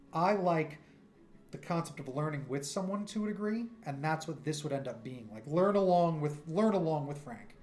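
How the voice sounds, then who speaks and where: New york guy rambling on his art stream, kinda high voice, quiet synth music under him. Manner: animated, self-deprecating, loose and chatty. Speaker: art livestreamer. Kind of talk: casual rambling livestream chat. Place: home streaming room. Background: soft synth music.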